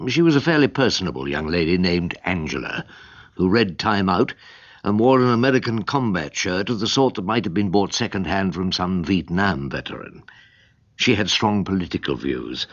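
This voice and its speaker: A man's voice: low-pitched, animated, normal speed, highs cut off. An actor playing a portly, wry, world-weary older English barrister who narrates his own story in a droll, ruminative way. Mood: dryly amused. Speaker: narrator and lead character. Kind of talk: radio drama acting with wry storytelling.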